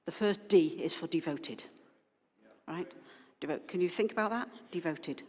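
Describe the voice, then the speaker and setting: A woman's voice: on the low side, normal speed, slightly rough. A woman pastor giving an informal, rambling sermon to her congregation, full of asides and restarts. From Wales. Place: church hall. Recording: long hall reverb.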